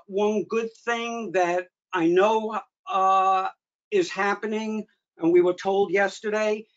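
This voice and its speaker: A man with a notably high voice hosts a remote meeting and delivers an informal briefing. He speaks haltingly, often pausing in the middle of sentences. The sound is slightly muffled, low-fidelity conference audio. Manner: halting, with frequent pauses mid-sentence. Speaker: meeting host, association official giving updates. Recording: dull lo-fi online meeting audio.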